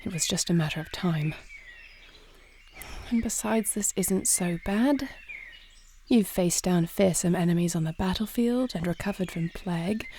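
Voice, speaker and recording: A woman playing a dignified, dutiful young warrior princess in a fantasy audio roleplay, speaking formally and with measured, stately diction. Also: lowish voice, dark tone, slight background noise. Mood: anxious self-talk.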